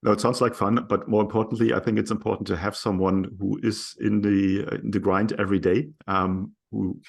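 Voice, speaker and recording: A man with a very deep, gravelly and husky voice hosting a podcast interview. He speaks in a measured way and pauses to find his words. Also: flat delivery. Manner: measured, hesitant with fillers. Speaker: podcast host.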